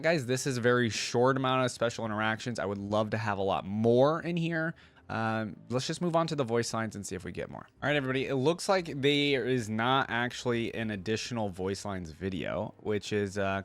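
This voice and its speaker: A man's voice: medium pitch, no room sound. A man hosting a reaction video, thinking aloud in an informal, conversational way about game voice lines.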